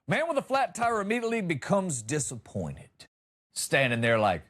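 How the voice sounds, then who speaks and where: A man's voice: on the high side, huge pitch swings, moderate pace, a bit bright. A middle-aged American man hosting a comedy podcast, riffing in a wry, exaggerating monologue and acting out lines. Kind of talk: humorous monologue on news stories.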